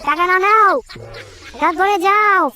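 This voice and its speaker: An actor voicing a bossy, stingy, complaining uncle from Kolkata in a comedy cartoon, through a pitched-up voice filter. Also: unhurried, bright, forceful tone, heavily acted. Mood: haggling. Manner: complaining, scolding, haggling. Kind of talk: comedic cartoon dubbing, exaggerated dialogue.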